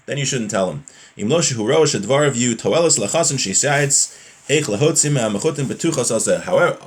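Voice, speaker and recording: Male religious teacher, mid-pitched, going through a text in plain colloquial terms, explanatory and informal. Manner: colloquial, explanatory, with rhetorical questions.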